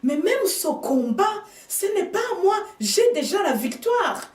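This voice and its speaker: Female preacher, non-native speaker, measured emphatic sermon, distant echoey pickup. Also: extremely high pitch, sing-song. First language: another language than French. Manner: slow, emphatic preaching with hushed asides. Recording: echoey room, speaker off mic.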